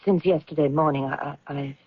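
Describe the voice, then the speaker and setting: A woman acting in an old-time radio drama as a meek, evasive, resigned wife who speaks hesitantly and gently. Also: deep voice, fairly even intonation, brisk. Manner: hesitant, subdued. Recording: dull, hissy old radio recording.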